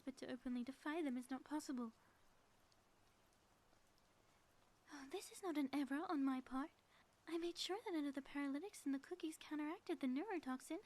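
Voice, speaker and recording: Woman acting a high-voiced, grandiose, gloating villainess. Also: a little mellow, lightly acted. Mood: indignant, exasperated. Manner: grandiose, florid, melodramatic phrasing. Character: theatrical gloating ex-villain woman, secretly affectionate spouse. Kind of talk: scripted ASMR audio roleplay.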